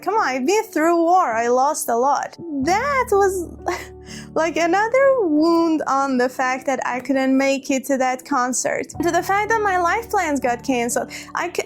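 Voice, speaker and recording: A woman telling a personal story to camera, hurt and hesitant, often restarting her words. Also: extremely high pitch. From Iran. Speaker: vlogger.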